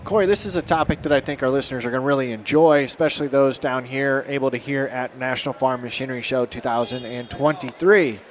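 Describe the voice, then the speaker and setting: A man's voice: mid-range voice, fast, hardly any pauses. A man hosting a farm podcast, introducing a guest in an upbeat, conversational way. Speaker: podcast host. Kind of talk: casual podcast interview.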